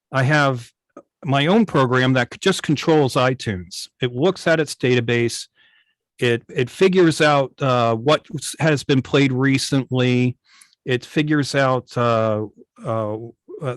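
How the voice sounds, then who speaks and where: A man's voice: mid-range voice, no room sound. A man explaining technical things casually on a video call, hesitant and often repeating his words. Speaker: guest, radio station operator and programmer. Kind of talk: casual technical explanation in an online club meeting. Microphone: video call microphone.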